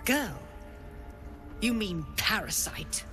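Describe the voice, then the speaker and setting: A menacing, imperious druid woman from a video game. She speaks slowly and coldly in a medium pitch, issuing threats as orders. Music plays clearly behind her. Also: big pitch swings. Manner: slow, commanding, threatening.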